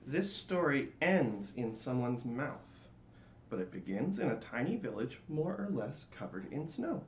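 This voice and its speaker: A man giving a theatrical, expressive dramatic reading of a storybook. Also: mid-range voice, measured pace. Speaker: storybook reader. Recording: roomy, dull, faintly noisy home recording.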